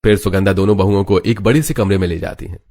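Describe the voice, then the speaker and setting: A man's voice: low voice, fairly even intonation, quick pace, creaky, warm tone, a little hiss or hum. A man narrating a scripted dramatic family story as a storyteller. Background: background music.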